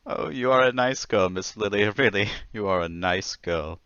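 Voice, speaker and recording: An actor playing a meek, awkward suspect in an old-time radio mystery, fussy, halting and excitable. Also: male voice, lowish voice, clear, resonant tone, no echo, muffled recording. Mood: giddy, bashful. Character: meek, awkward, lovestruck male murder suspect.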